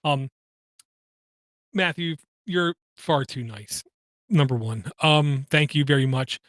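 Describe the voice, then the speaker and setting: A man hosting a podcast, talking through personal matters in a halting way, with many pauses and fillers and an occasional stutter. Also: a little high, a bit bright, no room sound. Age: adult, has kids. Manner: halting, frequent ums and uhs, slight stutter. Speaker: podcast host. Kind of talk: personal monologue.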